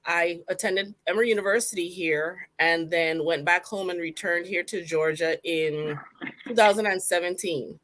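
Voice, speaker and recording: A woman's voice: fairly low pitch, moderate pace, a bit bright, a little hiss or hum. A woman from the US Virgin Islands, a panel guest speaking in a composed, frank way over a video call. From United States Virgin Islands.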